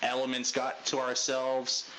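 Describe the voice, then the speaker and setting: Loud-talking man giving a noisy debrief. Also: completely flat delivery, unhurried. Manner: loud, high voice inflection. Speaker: unit leader giving a debrief. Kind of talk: casual pep talk to a group.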